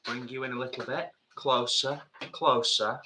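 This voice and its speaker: A man's voice: mid-range voice, unhurried, a little hiss or hum. A middle-aged man co-hosting a live stream in casual chat, reading out viewer comments. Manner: lively, chatty, reads viewer comments. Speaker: co-host.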